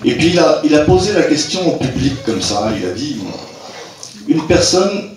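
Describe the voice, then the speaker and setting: A man's voice: fairly high pitch, big pitch swings, moderate pace. An older man from Brittany speaking informally to a live audience at a local fête, hesitant, with many restarts, chuckling at his own jokes. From Brittany, France. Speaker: president of a rural club, speaker at a local fête. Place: community hall at a local festival. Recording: echoey hall, voice from far off.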